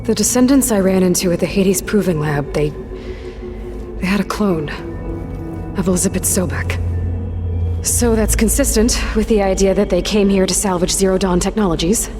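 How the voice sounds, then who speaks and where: A woman's voice: mid-range voice, normal speed, long pauses, close to an everyday voice. A young woman playing a determined, questioning sci-fi adventurer in a video game, with an earnest, conversational delivery. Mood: puzzled, pressing. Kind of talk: scripted video game cutscene dialogue. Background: background music.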